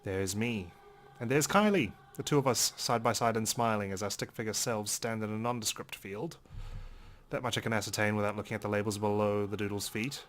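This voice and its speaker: A man's voice: on the low side, brisk, a bit bright, a little hiss or hum. A man reading a visual novel aloud in dramatic first-person narration for a let's play.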